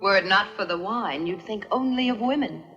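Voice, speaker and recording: A regal, teasing queen, played by a female actor in a dubbed historical adventure film. She speaks at a middling pitch, composed and lightly scolding. Music plays clearly behind her words. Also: fairly quick, highs cut off, lightly acted. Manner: regal, composed, gently chiding. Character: poised, teasing young queen rebuffing a suitor.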